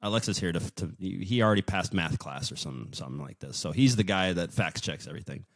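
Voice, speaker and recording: A man's voice: deep voice, expressive, fast. A man hosting a podcast, chatting casually in a joking, self-deprecating, rambling way. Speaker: podcast host.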